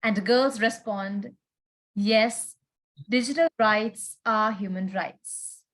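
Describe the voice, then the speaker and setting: A woman moderating a panel, reading scripted introductions in a formal, measured way. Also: mid-range voice, fairly bright tone.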